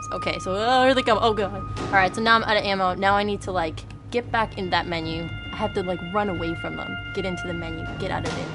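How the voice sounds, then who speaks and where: A woman giving a candid, informal interview as a game designer while demonstrating, self-deprecating and mildly sweary. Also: medium pitch. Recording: clear music bed behind voice. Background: background music.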